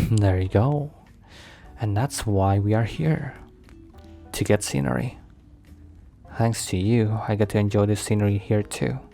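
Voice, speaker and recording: An actor playing a protective mafia boss boyfriend in an intimate ASMR roleplay, speaking slowly and hesitantly in a confiding, sometimes breathy way. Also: male voice, on the low side, warm tone, faint music behind. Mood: relaxed, teasing.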